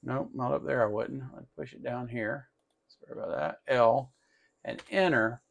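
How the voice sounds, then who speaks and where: Male tutorial host, mid-range pitch, creaky fry, informal think-aloud delivery. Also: moderate pace. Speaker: host of an electronics tutorial channel. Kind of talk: casual hands-on tutorial demonstration.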